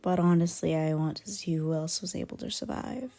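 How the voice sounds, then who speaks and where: A woman playing an aloof, grudgingly caring young werewolf in an ASMR roleplay, soft and breathy with whispered dips and blunt, guarded, halting delivery. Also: lowish voice, fairly even intonation, close to an everyday voice. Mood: wistful, guarded. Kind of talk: ASMR roleplay, intimate one-sided character dialogue.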